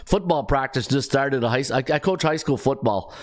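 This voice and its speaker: A middle-aged man hosting a sports betting podcast, his voice raspy and strained, rambling through odds with fillers and self-corrections. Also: medium pitch, brisk. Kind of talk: casual sports betting rundown.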